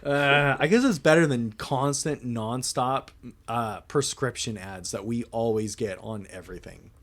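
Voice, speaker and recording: Easygoing male podcast co-host, mid-pitched, loose casual talk with the odd swear. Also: unhurried, a bit bright. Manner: laid-back, swears casually, laughs between phrases. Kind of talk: casual chat.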